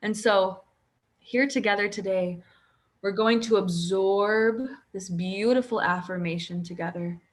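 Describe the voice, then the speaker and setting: A woman leading a guided sleep meditation in a slow, soothing voice that often drops close to a whisper. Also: mid-range voice.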